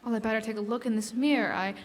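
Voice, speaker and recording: A woman's voice: fairly high pitch, steady tone, racing, close to an everyday voice. A woman playing a chatty, easily flustered motherly shopper in a classic-style radio play, with theatrical delivery. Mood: chatty, pleasant. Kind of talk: live stage radio drama in classic style. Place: stage in a hall, live performance. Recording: echoey stage hall recording.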